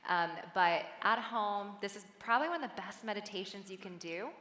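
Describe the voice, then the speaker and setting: Woman, keynote speaker, bright voice, guided meditation, chatty with audience, large hall reverb. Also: mid-range voice. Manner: soft, slow guiding then lively chatty with laughs.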